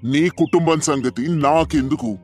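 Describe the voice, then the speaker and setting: Man acting a loud, haughty, greedy village landlord. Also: on the high side, unhurried, soft background music, lightly acted. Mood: angry scorn. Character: greedy, arrogant rich male village landlord.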